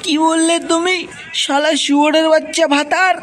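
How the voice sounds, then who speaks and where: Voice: very high voice, fairly even intonation, normal speed, heavy background noise. A performer voicing a fiery wife and doting mother in a comic cartoon dub. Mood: furious.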